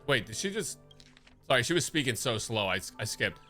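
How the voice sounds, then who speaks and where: Bright-voiced male game streamer. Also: mid-range voice, big pitch swings, fairly quick. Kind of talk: casual live reaction commentary, reading game dialogue. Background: game music.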